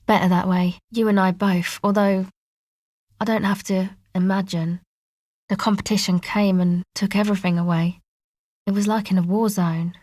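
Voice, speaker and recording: Woman playing young female crew leader, video game dialogue, guarded, casual, slangy, understated, medium pitch, close dry sound. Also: little pitch movement, normal speed, a little mellow, dry recording, close to an everyday voice. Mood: somber, flat.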